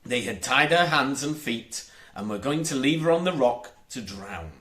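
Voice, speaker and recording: A man narrating a children's storybook in a measured, deliberate and expressive way. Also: mid-range voice. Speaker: audiobook narrator.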